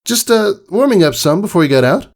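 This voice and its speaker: Man voicing an eager young filly, high and strained. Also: a little fast, full character voice. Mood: nervous eagerness. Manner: strained, excitable. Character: eager, headstrong young female pegasus filly.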